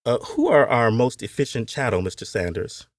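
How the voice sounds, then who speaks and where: A man's voice: mid-range voice, lively intonation, normal speed, dry recording, studio quality, natural voice. A man voicing a formal, educated young plantation owner, stiffly polite and restrained, in an audiobook story. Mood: curt, restrained.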